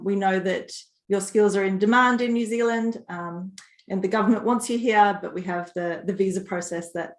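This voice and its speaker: A woman's voice: lowish voice, vocal fry. Professional woman in an echoey room. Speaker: licensed immigration advisor. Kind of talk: webinar introduction.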